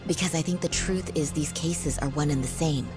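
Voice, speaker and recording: A woman playing a serious, composed defense attorney with a measured, businesslike manner in a video game cutscene. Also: sits low, natural voice. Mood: concerned, determined. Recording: game music under the voice. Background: music.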